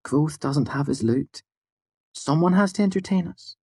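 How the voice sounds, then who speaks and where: A man's voice: a little high, a little slow, slightly rough, no room sound, voice pushed up. A man voicing a playful, teasing young woman. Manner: lively, teasing.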